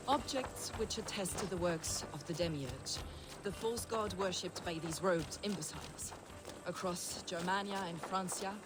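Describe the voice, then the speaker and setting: Low-voiced devout heretic mystic woman acted. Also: lightly acted. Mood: fervent, scornful. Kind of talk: dramatic game acting. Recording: clearly noisy game ambience behind.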